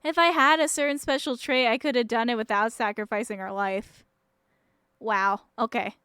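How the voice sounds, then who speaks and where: A woman's voice: fairly high pitch, fairly even intonation, normal speed, pushed and bright, clear, resonant tone, dry recording, clean studio sound. A woman game streamer giving blunt, jokey, sweary commentary and reading story narration aloud in a casual way.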